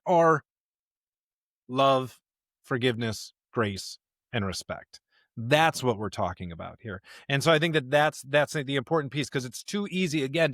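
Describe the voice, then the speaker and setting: A man's voice: mid-range voice, a bit bright, no room sound. Emphatic man co-hosting a podcast, dry sound. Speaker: podcast co-host. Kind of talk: casual conversational discussion.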